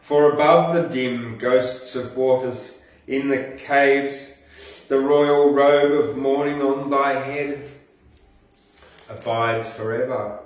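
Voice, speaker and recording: A man hosting a poetry reading, reciting verse and making offhand remarks in a halting, deliberate manner. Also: mid-range voice, steady tone. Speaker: poetry podcast host and reader. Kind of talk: poetry recitation with casual asides between poems. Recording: echoey room, distant from the mic.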